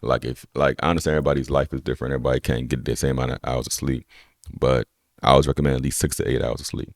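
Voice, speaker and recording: A young man with a deep voice, a personal trainer chatting casually as a podcast guest, relaxed and rambling with lots of "you know what I'm saying.". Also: racing, gravelly, no echo, studio quality. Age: young adult. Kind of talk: casual conversation.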